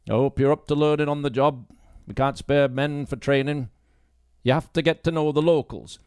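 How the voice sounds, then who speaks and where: A man's voice: medium pitch, darkish tone, smooth, no echo, clean studio sound, pitched above the performer's own voice, lightly acted. Man acting a brusque, condescending police sergeant. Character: gruff, dismissive male police sergeant, short, Glaswegian, brusque.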